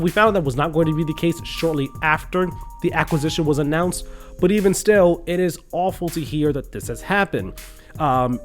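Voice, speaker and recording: A man's voice: fairly high pitch, a little mellow. A young man with a congested, stuffy voice, earnestly venting about gaming news in a casual commentary video. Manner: earnest, restarts and fillers, indignant. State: congested from a cold.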